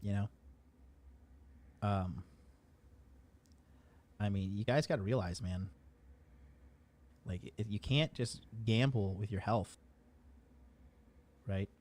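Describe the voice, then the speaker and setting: A man's voice: lowish voice, slow, long pauses, a little mellow. A man giving casual commentary in a blunt, profane, lecturing way.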